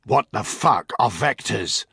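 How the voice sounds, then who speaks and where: A male narrator voices a hard military operative from a thriller audiobook. He is curt and menacing, with a low, husky voice recorded dry and close. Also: a little slow, pushed and bright, dry recording, heavily acted. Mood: furious, demanding.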